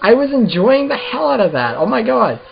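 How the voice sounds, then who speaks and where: A man's voice: extremely high pitch, big pitch swings, moderate pace, gravelly, lo-fi sound, soft background music. A man doing a casual let's play, reading visual novel text aloud and commenting as the host of a gaming video.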